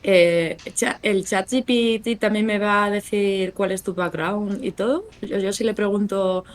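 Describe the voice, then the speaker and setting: A woman's voice: mid-range voice, steady tone, a little slow. A woman host in a casual panel discussion, joking and putting questions to her guests.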